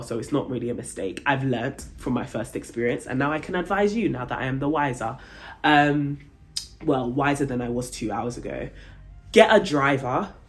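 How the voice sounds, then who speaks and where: A young adult woman vlogging about her travels, telling a story casually and acting out the dialogue with big jumps in pitch. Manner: chatty, many fillers like "like" and "um".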